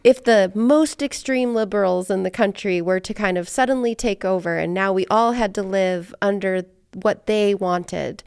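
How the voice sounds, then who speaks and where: A woman's voice: medium pitch, normal speed, hardly any pauses, darkish tone, clean tone, dry recording, clean studio sound. An American woman hosting a conversation, talking casually and reflectively as she rambles and thinks aloud. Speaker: podcast host.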